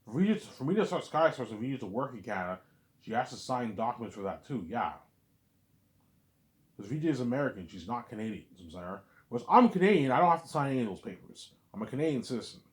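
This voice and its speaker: A man speaking in a middle-of-the-road voice, neither high nor low, in an unscripted, casual monologue. He rambles bluntly, circling back and repeating words as he restarts his sentences. He checks in with his listener again and again. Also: sing-song, fast talker. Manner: repetitive, restarts words often; blunt, conversational, asks "you know what I'm saying". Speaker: vlogger.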